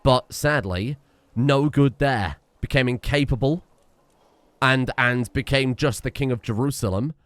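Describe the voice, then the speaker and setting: A young man talks through a strategy game with excited exclamations and rambling restarts. His voice sits in an ordinary middle register. The sound is close and dry, with no room around it. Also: mid-range voice, unhurried, no room sound. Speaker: gaming streamer. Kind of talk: casual let's-play commentary.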